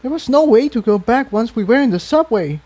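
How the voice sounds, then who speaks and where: Man voicing a high-pitched, gentle young mare. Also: lively intonation, a little fast. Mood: tender, reassuring. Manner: anxious, tender. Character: young female pony, gentle, anxious and supportive.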